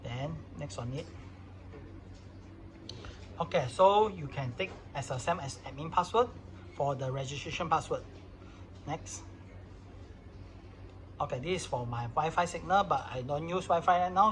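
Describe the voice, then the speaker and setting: A man's voice: a little high, moderate pace, pauses often, a little hiss or hum, poor audio. A man narrating an informal hands-on product tutorial in casual, phrasing. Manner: casual non-native English phrasing. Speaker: product demonstrator.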